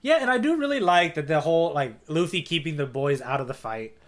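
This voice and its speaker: A man's voice: on the high side, lively intonation, fairly quick. A man co-hosting a casual manga readalong podcast, talking with slangy enthusiasm. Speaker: podcast co-host.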